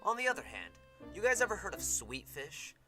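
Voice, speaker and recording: A woman's voice: on the low side, big pitch swings, brisk, sharp tone, faint music behind. A woman voicing a brash, oddball young gang member in an anime fan dub, chatty, casual and emphatic. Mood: chatty, excitable.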